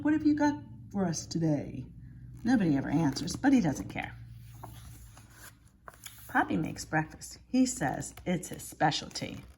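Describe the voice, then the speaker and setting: A woman's voice: lowish voice, creaky, darkish tone, slight background noise. A woman reading a children's picture book aloud like a schoolteacher, expressively and with exaggerated emphasis.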